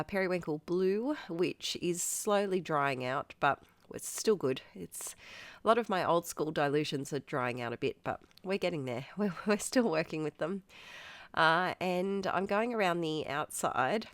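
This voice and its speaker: A woman's voice: on the low side, animated, unhurried, no echo. A woman with an Australian accent and a stuffy, congested voice, chatting casually through a voiceover of her art process, rambling and self-deprecating with frequent laughs. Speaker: art channel host.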